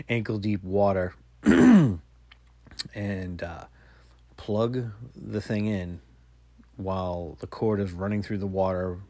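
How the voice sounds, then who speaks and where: A man's voice: low-pitched, a little mellow, no echo. A man in his forties telling rambling, self-mocking stories on his podcast, swearing casually. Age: 40s. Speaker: podcast host. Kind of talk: casual solo storytelling.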